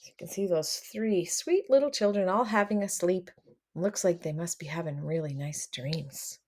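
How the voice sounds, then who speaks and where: A woman reading a picture book aloud to children, expressive and addressing them directly as she comments along. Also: lowish voice, normal speed. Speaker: read-aloud storyteller for children.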